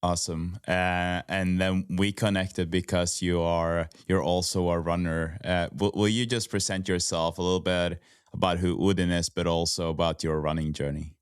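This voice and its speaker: A man's voice: sits low, flat delivery, normal speed, clean tone, no room sound, clean studio sound. A man with a Norwegian accent, hosting a podcast in a casual, conversational way. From Norway. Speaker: podcast host.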